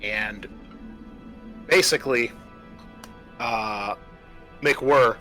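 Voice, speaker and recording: A man's voice: lowish voice, drawn-out words, pushed and bright. A man narrating a tabletop roleplaying game as game master, improvising descriptions and explaining the rules, with music behind him.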